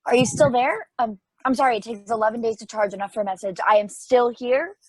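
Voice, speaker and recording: A woman's voice: a little high, a little fast, no echo, close to an everyday voice, low-quality recording. A woman playing a lonely, frightened space probe in a play table read, hesitant and pleading. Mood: anxious pleading.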